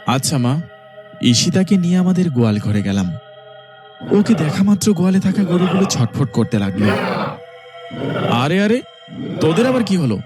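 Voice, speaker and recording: A man acts an anxious young village son in a horror cartoon. His voice sits fairly high and moves up and down a great deal. He sounds earnest and pleading, over faint music. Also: very animated, moderate pace, long pauses. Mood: plain, storytelling. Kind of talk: horror cartoon dialogue and narration.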